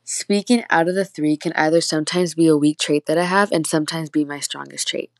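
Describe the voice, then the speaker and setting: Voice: mid-range voice, steady tone, a little fast, vocal fry, no echo. A teenage student with a lisp and a stutter, reading a personal reflection candidly.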